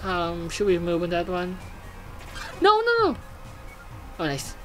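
Excitable gamer with a very lively pitch. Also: takes it slowly, soft background music. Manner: excitable, exclaiming and swearing. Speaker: gaming video host. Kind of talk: casual gameplay commentary, reading game text aloud.

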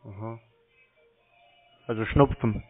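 Deadpan man on a tinny phone line. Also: lowish voice, slow pace, slightly rough, soft background music. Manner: deadpan, curt, mocking. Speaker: scam-baiter taking phone calls. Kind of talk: unscripted phone conversation. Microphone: telephone call recording.

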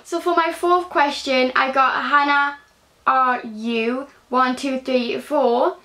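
High-voiced Geordie teen girl vlogging. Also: steady tone, takes it slowly, sharp tone. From Newcastle, England. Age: early teens. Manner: chatty, many ums and likes, gestures while talking. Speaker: beauty vlogger. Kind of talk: casual Q&A vlog. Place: small bedroom indoors. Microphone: phone camera at close range.

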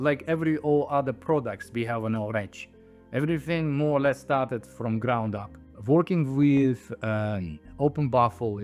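A man in his thirties or forties, an audio designer, explaining products to camera on a lapel mic in a halting, emphatic way. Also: mid-range voice, a little mellow. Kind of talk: explaining products to camera, semi-scripted talk. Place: indoor audio showroom. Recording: music playing behind the voice. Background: music.

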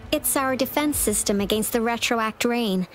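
Actress as solemn young woman official for a game, higher voice, measured and formal, music behind. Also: lightly acted. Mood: polite, composed. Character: composed, solemn young woman defense director. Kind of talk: video game character dialogue.

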